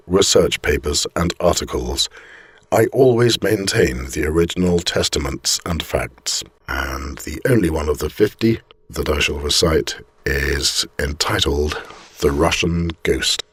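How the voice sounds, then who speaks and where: Very husky male voice, dry close sound, theatrical storytelling, deliberate phrasing. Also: extremely low pitch, no room sound. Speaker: storyteller reading a short story aloud. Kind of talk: scripted dramatic ghost story narration.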